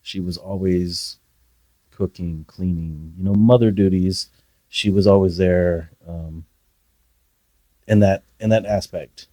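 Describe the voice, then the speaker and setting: A man guest on a podcast, telling his childhood story in a soft, hesitant way with many pauses. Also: low voice, steady tone, no room sound. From Philippines. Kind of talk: reflective personal storytelling.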